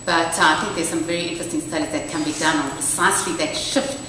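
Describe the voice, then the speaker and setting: A woman's voice: low voice, steady tone, fast, pushed and bright. A woman keynote speaker answering audience questions at a conference, encouraging and thoughtful. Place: university lecture hall. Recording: distant echoey hall mic, audible noise.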